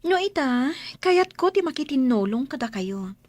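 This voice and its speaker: A woman's voice: high-pitched, very animated, normal speed, no room sound. An actress with an Ilocano accent playing an eager, supportive young woman in a radio drama. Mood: agreeable. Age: young adult.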